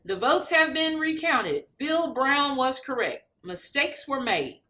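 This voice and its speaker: A woman reads aloud for children with a bright, clear-cut edge to her voice. She takes her time, and her pitch swings widely as she expresses each phrase. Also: big pitch swings, slow. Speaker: reader of a children's picture book.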